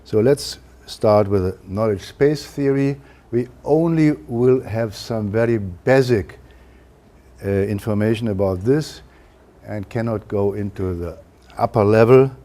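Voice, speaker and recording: Male lecturer, German accent, lowish mellow voice, measured and halting, academic delivery. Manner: careful, halting delivery with frequent uh fillers. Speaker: university lecturer.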